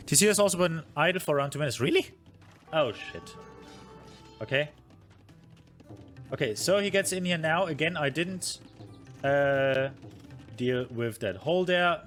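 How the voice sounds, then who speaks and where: A man's voice: medium pitch, a bit bright. A man streaming a review of his own strategy game match, thinking aloud with many fillers, being hard on himself and answering his chat. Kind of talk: casual game commentary.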